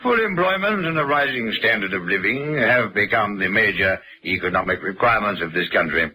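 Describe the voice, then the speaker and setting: A man's voice: lowish voice, animated, fairly quick, bright, forceful tone, rough recording. An actor with a British accent playing a stuffy, formal company chairman in a vintage radio drama. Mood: pompous, formal. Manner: formal, droning boardroom delivery. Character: stuffy, well-to-do City company chairman, a man. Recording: vintage radio drama, bar chatter behind.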